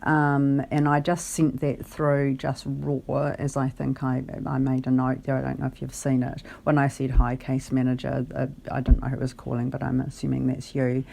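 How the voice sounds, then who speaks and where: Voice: mid-range voice, completely flat delivery, moderate pace, vocal fry, few pauses, warm tone. A caller on a phone conversation, explaining their case in a hesitant, careful and articulate way. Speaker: caller, benefit client.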